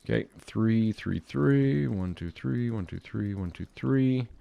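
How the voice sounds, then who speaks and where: A man's voice: lowish voice, slow, creaky, no room sound. A young man with a slightly dry throat, chatting casually to viewers while he plays through a modded Minecraft series. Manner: relaxed, chatty, talks to viewers while playing. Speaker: gaming video host. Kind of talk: casual Minecraft modpack commentary.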